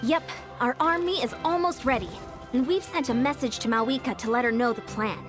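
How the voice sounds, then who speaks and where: An actress voices an earnest young female military strategist from a video game. Her voice is high, with a courteous, composed delivery. She phrases things formally and carefully, with music playing behind her. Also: a little fast, lightly acted. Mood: pleased, businesslike. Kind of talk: English video game voice acting.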